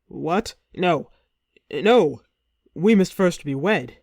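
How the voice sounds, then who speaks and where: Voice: mid-range voice, lively intonation, drawn-out words, a little mellow, no echo, studio quality, full character voice. A storyteller voicing a young male hero posing as a king, speaking firmly and a little stiffly. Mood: insistent. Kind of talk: storybook reading.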